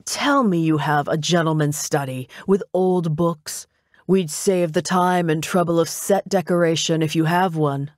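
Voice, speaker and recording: Audiobook role: woman voices flamboyant, teasing man, slow lilting drawl, theatrical, close dry recording. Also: fairly high pitch, fairly even intonation, no room sound, close to an everyday voice. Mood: playful, cheerful.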